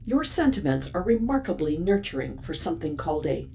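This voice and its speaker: A woman's voice: sits low, very animated, brisk, warm tone, a little hiss or hum. A woman playing a cool, ominous inhuman intelligence in an audio drama, speaking formally with detached precision. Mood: haughty, unbothered.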